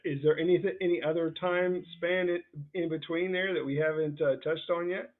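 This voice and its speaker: Over a thin, phone-quality connection, a man with a fairly high voice hosts a sports interview. He speaks casually and conversationally, feeling his way through questions in an informal, halting manner. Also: fairly even intonation. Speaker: interviewer and football scout. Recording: thin, narrowband phone-like call sound.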